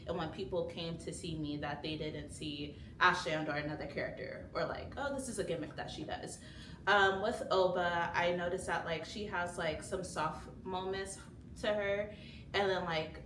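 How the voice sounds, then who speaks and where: A young woman, a student actor, talking casually and hesitantly about her role, with many "um"s. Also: on the low side. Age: young adult. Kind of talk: casual chat about rehearsals. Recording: roomy, distant mic, noisy.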